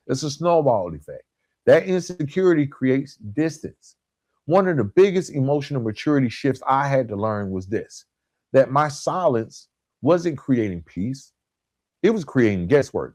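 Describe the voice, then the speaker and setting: A man hosting a podcast in a motivational coaching style, emphatic and deliberate, repeating key points and dropping in colloquial asides. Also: mid-range voice, darkish tone, no room sound, studio quality. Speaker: podcast host. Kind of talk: motivational relationship coaching talk.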